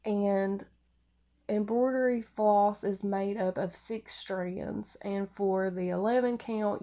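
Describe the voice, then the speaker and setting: Congested nasal woman with creaky voice. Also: mid-range voice, warm tone. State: congested, getting over flu. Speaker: craft video podcaster. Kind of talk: casual product showcase vlog.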